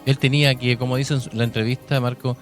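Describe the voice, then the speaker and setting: A man's voice: mid-range voice, level tone, quick pace. A man with a Chilean accent hosting a conversational radio interview. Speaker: radio host. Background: music.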